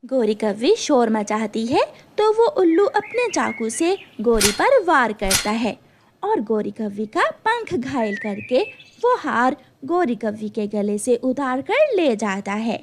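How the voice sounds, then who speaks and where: A woman's voice: fairly high pitch, very animated, slow pace, slight background noise. A woman narrating a scripted children's cartoon story.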